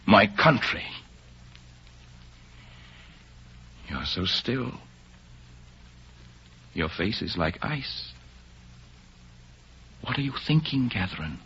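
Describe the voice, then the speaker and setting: An actor playing a suave, fatalistic spy in a 1930s radio drama, with mocking, romantic, measured theatrical delivery. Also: male voice, fairly low pitch, very animated, bright, forceful tone, exaggerated voice. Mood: tender, solemn. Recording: noisy, muffled vintage radio recording.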